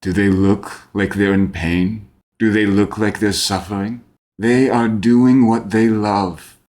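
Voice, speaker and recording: A man voicing a calm, grandiose lich in a fantasy tabletop game, speaking with solemn, measured persuasion. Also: on the low side. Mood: persuasive, assured. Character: calm, grandiose male lich promising escape from death.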